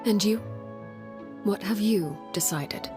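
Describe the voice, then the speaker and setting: An actress playing a stern, composed female head of an assassin family in a game cutscene, speaking in a measured, authoritative way. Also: medium pitch, close to an everyday voice. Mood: commanding. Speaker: video game character. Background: faint music.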